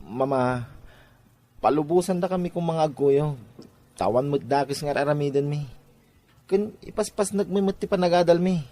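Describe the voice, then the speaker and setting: A man's voice: a little high, moderate pace, pauses often, mellow, close to an everyday voice. A man voicing a teenage boyfriend in an audio drama, stammering and evasive. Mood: pleading. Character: teenage boy Brian, Donna's boyfriend. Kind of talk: amateur audio drama acting.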